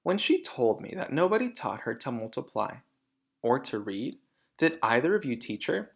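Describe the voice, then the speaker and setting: A man's voice: medium pitch, very animated, moderate pace, vocal fry, slightly rough, heavily acted. A man reads as a polite lady schoolteacher from a storybook. The delivery is earnest and restrained, with questioning, varied intonation. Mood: probing.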